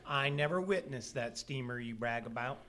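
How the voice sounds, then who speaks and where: An actor playing a folksy, matter-of-fact son who explains things patiently in an old-time radio comedy. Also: male voice, medium pitch, moderate pace, fairly bright tone, lightly acted. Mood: dry, explaining. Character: dry, know-it-all son explaining patiently.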